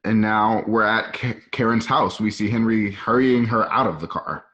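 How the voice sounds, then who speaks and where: A man's voice: lowish voice, moderate pace, fairly bright tone. A man narrating scene directions in a dramatic scripted reading. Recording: dull lo-fi home call audio.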